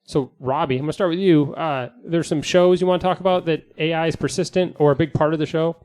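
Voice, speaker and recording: Higher-voiced man hosting a casual podcast. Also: fairly even intonation, fast talker, darkish tone. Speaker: podcast host. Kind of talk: casual joking group chat.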